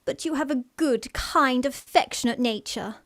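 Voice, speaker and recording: A woman's voice: sits high, normal speed, fairly bright tone, no room sound, studio quality. An actress playing a sweet, trusting young Victorian lady in a radio farce, earnest and polite. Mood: earnest, admiring.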